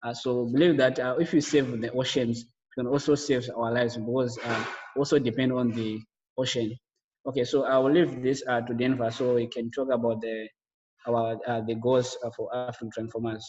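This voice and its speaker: A young man presents his project in a webinar, pausing often with filler sounds and restarting phrases. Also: medium pitch, little pitch movement, a little mellow, slight background noise. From Zimbabwe. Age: young adult. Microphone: video call. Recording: echoey, dull lo-fi webinar audio.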